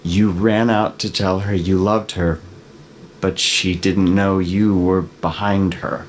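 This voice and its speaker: Man acting a halting, slow-spoken guilty father. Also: deep voice, level tone, a little hiss or hum, poor audio. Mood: hesitant, confessing. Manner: halting, pleading, remorseful. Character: guilt-ridden, evasive father hiding a terrible secret. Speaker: actor in a screenplay table read. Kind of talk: dramatic script reading.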